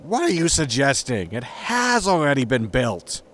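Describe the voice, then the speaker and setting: An actor playing a grumpy, money-minded spaceship captain, impatient and sardonic, in a sci-fi comedy audio drama. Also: male voice, mid-range voice, wildly swooping pitch, measured pace, a bit bright, exaggerated voice. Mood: puzzled, impatient.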